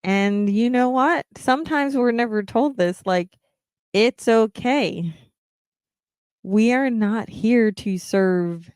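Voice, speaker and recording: Podcast host, female, slow encouraging delivery, smooth voice, dry close mic. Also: on the low side, a little mellow, dry recording. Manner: earnest, encouraging, many ums. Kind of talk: reflective solo podcast talk.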